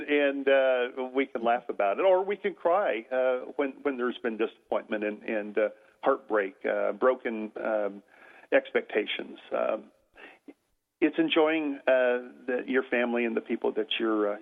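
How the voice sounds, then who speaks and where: An older man speaks loudly as a guest on a radio talk show. He hesitates a lot, with fillers and restarts. His voice sits at an ordinary pitch, and the sound is tinny and phone-like. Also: gravelly. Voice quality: loud voice. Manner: halting, many fillers and restarts.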